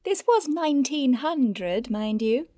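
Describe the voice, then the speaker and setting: A woman's voice: high-pitched, expressive, slow, mellow, dry recording, clean studio sound, exaggerated voice. An actress playing an impish, gossipy woman friend who narrates in an audio drama with an arch, knowing manner.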